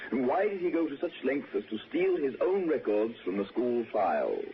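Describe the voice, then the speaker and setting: An actor playing a gentle, wistful, courteous lord in 1960s radio drama, speaking with hesitant, softly persuasive politeness. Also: male voice, mid-range voice, close to an everyday voice. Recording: dull, noisy 1960s radio recording.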